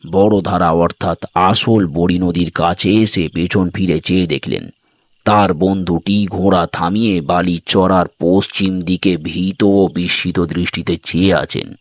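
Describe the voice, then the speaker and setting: Low-voiced man narrating a story. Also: no echo. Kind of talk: scripted dramatic story narration.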